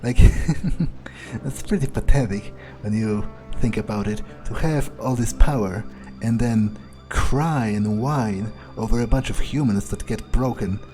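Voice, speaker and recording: Man playing a mellow, deadpan, cynical vampire. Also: fairly low pitch, measured pace, soft background music, close to an everyday voice, poor audio. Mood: scornful, musing. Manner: deadpan, sarcastic, offhand. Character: cynical, bored male vampire, casually murderous. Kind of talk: improvised in-character gaming playthrough.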